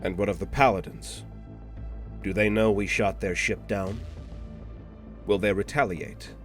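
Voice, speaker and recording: A man playing a formal, cautious subordinate agent in an audio drama, talking in a measured, questioning manner. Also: lowish voice, steady tone, soft background music, close to an everyday voice. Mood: questioning, uneasy. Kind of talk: scripted audio drama roleplay.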